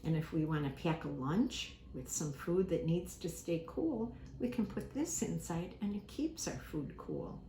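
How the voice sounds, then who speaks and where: A woman giving a simple lesson to young children, speaking deliberately, repeating key words and acting out examples. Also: lowish voice. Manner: animated, acts out examples with props. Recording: echoey room with faint background noise.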